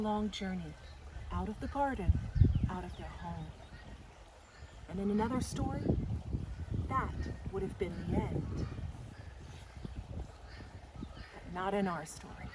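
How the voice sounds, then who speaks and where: A woman reading a children's story aloud as a storytime host, with deliberate, dramatic expression. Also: medium pitch. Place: outdoors in a garden. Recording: faint outdoor noise, birds or animals. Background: faint outdoor ambience.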